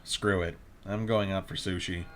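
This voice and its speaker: Low-voiced, shaken courier played by a man. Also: steady tone, moderate pace, a bit bright, faint music behind. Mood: resigned, unsettled. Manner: confessional, dramatic storytelling. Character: shaken male delivery courier recording an eerie account. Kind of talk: first-person horror audio drama monologue.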